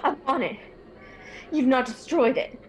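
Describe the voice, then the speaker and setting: A woman's voice: high-pitched, measured pace, low-quality recording. A woman playing an obsessive, grieving elderly mother in a radio horror drama, imploring and intense. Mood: bitter, insistent.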